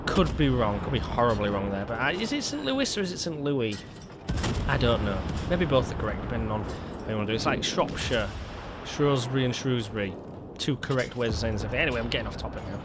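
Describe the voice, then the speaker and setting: A man's voice: medium pitch, noisy background. A man hosting casual gameplay commentary, rambling with stumbling restarts and a lingering cough. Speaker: gaming video host.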